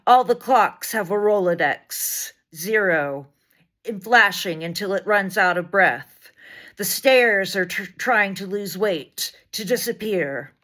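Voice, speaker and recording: Slow, deliberate woman reading poetry. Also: lowish voice, a bit bright. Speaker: poet reading poems aloud. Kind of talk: dramatic spoken-word poetry reading.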